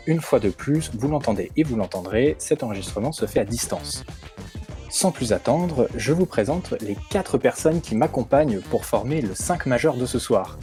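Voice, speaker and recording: A man's voice: mid-range voice, mellow. A man hosting a podcast, reading a prepared introduction and putting questions to his guests. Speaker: podcast host. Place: remote recording from own location.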